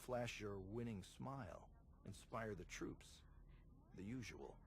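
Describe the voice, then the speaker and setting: A roguish, flirtatious spy. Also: male voice, lowish voice, animated, unhurried. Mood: businesslike. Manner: smooth-talking, playful. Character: flirtatious, roguish male spy, charming and cocky. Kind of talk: video game cutscene acting.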